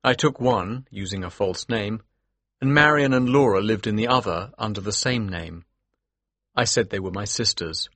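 An actor playing an earnest, determined gentleman who tells a Victorian mystery in the first person, with a husky voice. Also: lowish voice, normal speed, dry recording. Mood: measured narration. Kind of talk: scripted first-person audiobook narration.

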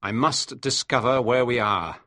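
A woman voicing a stern, steady male ship captain in a storybook reading, speaking firmly. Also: extremely low pitch, a little slow, dry recording, clean studio sound, voice pushed down, heavily acted. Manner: firm, commanding.

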